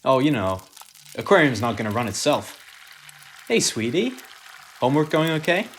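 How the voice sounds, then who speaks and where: A man's voice: mid-range voice, sing-song, moderate pace, close to an everyday voice. A man playing an earnest superhero and loving father in a radio play, with music under the voice. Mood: cheerful, homely.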